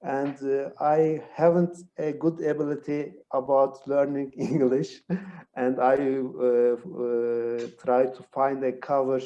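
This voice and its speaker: A man with a Turkish accent asks a question over a video call in a slow, halting way. He pauses a lot on "uh" as he searches for the right words. His voice is mellow and low-key. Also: level tone, muffled recording. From Turkey. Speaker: webinar participant asking a question. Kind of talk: hesitant question in a second language.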